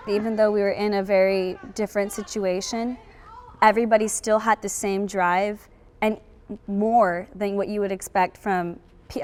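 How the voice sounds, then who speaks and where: A young woman gives an earnest, heartfelt interview as a student actor. Her pitch is average, and there is faint background noise. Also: a little mellow. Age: young adult.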